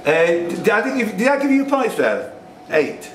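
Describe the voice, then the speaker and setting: A man's voice: high-pitched, huge pitch swings, unhurried, far from the mic. A man, acting as quizmaster, reading questions and bantering with the players. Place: pub, indoors among a group of players. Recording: roomy pub recording, off-mic, faint noise.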